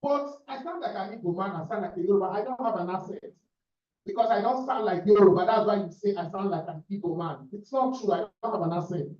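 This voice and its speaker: A man's voice: high voice, fast, a little mellow. A man from Nigeria, a visiting club president, giving assertive remarks at a meeting. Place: meeting room. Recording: echoey room, distant dull sound.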